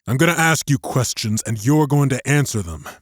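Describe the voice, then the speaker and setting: Man acting a cold, sharp resistance soldier. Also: mid-range voice, lively intonation, normal speed, dry recording, clean studio sound, pitched above the performer's own voice, natural voice. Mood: cold, suspicious. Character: stern, suspicious male resistance soldier giving orders.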